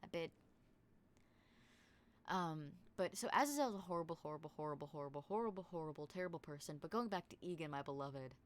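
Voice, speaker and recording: A woman's voice: deep voice, animated, fast talker. A young woman in casual voice-call chat as a tabletop game player, rambling with many fillers. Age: young adult.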